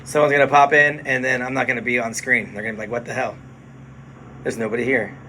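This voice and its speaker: A man's voice: medium pitch, little pitch movement, quick pace, bright, forceful tone, a little hiss or hum, rough recording. Laid-back male radio DJ chatting. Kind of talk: casual livestream chat. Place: radio studio, indoors. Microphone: phone.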